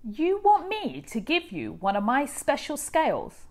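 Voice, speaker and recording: Woman acting a high-pitched, haughty male rainbow fish. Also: wildly swooping pitch, a little slow, a little mellow, full character voice. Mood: indignant, haughty. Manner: haughty, dramatic exclamations. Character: vain, proud, easily indignant male rainbow fish. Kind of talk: children's storybook character voice.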